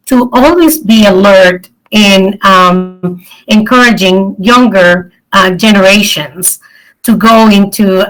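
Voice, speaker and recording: A woman's voice: mid-range voice, low-quality recording. Earnest middle-aged woman from the Dominican Republic. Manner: warm, earnest, many ums. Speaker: guest speaker. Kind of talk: online event talk.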